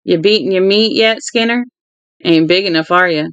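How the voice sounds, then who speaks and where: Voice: mid-range voice, normal speed, a bit bright, clear, resonant tone, no room sound, muffled recording, lightly acted. A reader voicing a cocky, crude young older brother from a novel, slangy, blunt and teasing.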